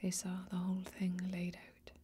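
A woman's voice: fairly low pitch, completely flat delivery, warm tone, natural voice. Woman playing a whispering, brooding fantasy warrior woman. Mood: grave, brooding. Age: young adult. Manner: slow, brooding, deliberate, breathy whispering. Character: weary, grave fantasy warrior woman recounting old misdeeds. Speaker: ASMR role-play performer. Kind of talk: soft-spoken whispered fantasy ASMR role-play. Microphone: close microphone.

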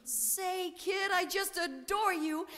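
A man's voice: extremely high pitch, slow, pushed and bright, voice pushed up, full character voice. A man voicing a gushing, melodramatic young American woman tourist in a humorous story reading. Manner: breathless, melodramatic, effusive. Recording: long hall reverb.

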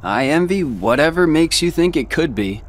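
A man's voice: on the high side, expressive, moderate pace, close to an everyday voice. An actor playing a cool, brooding older teenage boy in a video game, with a reserved, serious, understated delivery. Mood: pensive. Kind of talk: English video game voice acting.

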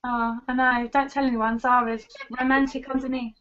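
A woman's voice: sits high, little pitch movement, moderate pace, fairly bright tone. A young Irish woman, a guest chatting casually and laughing easily over a video call from a bedroom. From Ireland. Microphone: video call webcam. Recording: dull lo-fi video call audio with faint noise.

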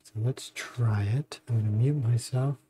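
A man hosting a casual software walkthrough, speaking in a halting, informal way. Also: medium pitch, a little hiss or hum. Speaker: tutorial host. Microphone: close budget desktop microphone.

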